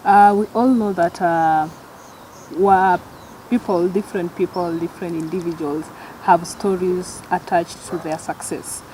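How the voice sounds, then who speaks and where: A woman's voice: on the low side, fairly even intonation, a little slow, mellow, slight background noise, low-quality recording. A woman hosting a conversational interview show.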